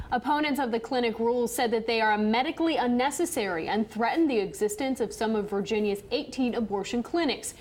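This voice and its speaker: A woman's voice: on the high side, slight background noise. An American woman working as a news anchor, moving between scripted reading and chatty banter.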